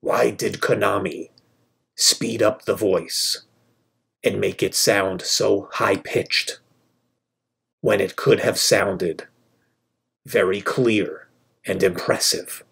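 A man narrating a scripted video about a video game, in short, measured phrases. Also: on the low side, steady tone, gravelly, a bit bright, husky.

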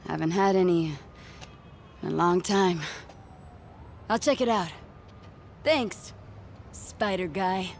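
A woman's voice: lowish voice, takes it slowly, creaky, long pauses, darkish tone, a little hiss or hum. An actress playing a proud, guarded, streetwise woman in a video game, blunt. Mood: softening. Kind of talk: cinematic video game acting.

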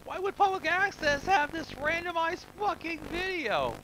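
High-voiced, very animated, sweary man reacting. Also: moderate pace, slight background noise, poor audio. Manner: profane, excitable. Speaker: reaction show host. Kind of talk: casual reaction commentary, reading on-screen text aloud. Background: audio of the horror video being watched.

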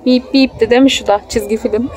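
A woman's voice: fairly high pitch, fairly even intonation, fast talker. A woman in her thirties talking casually to her small child, pointing things out and explaining, with coaxing endearments. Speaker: mother filming her child. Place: open-air children's park. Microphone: handheld phone, speaker behind the camera. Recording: outdoor phone video with faint crowd noise.

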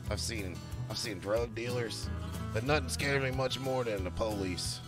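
A man's voice: medium pitch, moderate pace, close to an everyday voice. A man voicing a folksy, defiant country truck driver with a drawling, boastful manner in a game roleplay. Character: folksy, defiant country trucker, male, small-town desert local. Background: guitar music.

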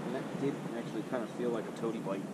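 A man chatting casually and muttering quietly while fishing from a kayak, recorded on an action camera out on the water. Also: mid-range voice, rapid-fire. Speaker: fishing vlogger. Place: kayak on open water, outdoors. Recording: wind and water noise outdoors.

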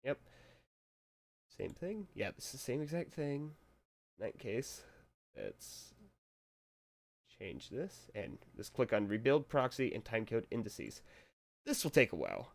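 Offhand young man, slightly hoarse. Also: medium pitch, very animated, measured pace, long pauses. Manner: rambling, offhand, chuckles to himself. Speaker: tutorial maker. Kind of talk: casual screen-recorded tutorial.

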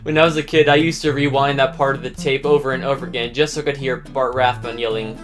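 A man's voice: mid-range voice, little pitch movement, fast. A man in his twenties presenting a scripted countdown to camera. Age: 20s. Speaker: video presenter, fan reviewer. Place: small indoor room with bookshelves. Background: faint guitar music.

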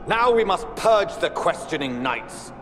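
Ominous knight villain in echoing hall. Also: male voice, high-pitched, sing-song, a little slow. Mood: menacing, fervent. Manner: ominous, theatrical. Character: doomed, fanatical knight captain turned menacing villain. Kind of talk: dramatic fantasy game acting. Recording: long hall reverb, clear background noise.

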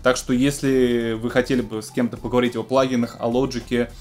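Male host, thirties, mid-pitched, conversational explainer of music tech news, music underneath. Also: little pitch movement, a little fast. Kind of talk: casual news presenting to camera. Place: small home music studio, indoors.

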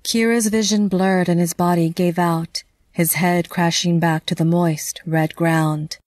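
Low-voiced woman narrator, very dry sound. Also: steady tone, a little slow, darkish tone, no echo. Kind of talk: scripted narration of a dark fantasy audio drama.

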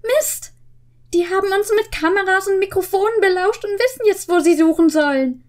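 A woman's voice: very high voice, moderate pace, darkish tone, voice pushed up. A young woman voicing eager boy detectives while reading storybook dialogue aloud with emphasis. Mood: alarmed. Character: excited, sure-minded boy detectives in a story.

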